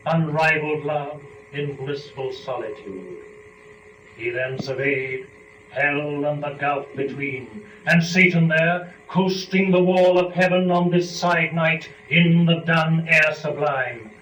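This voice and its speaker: A man giving a dramatic recitation of classical epic verse in a solemn, measured, declamatory way. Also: on the high side, a bit bright, lo-fi sound. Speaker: dramatic reader of epic poetry. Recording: roomy, distant, faintly noisy recording.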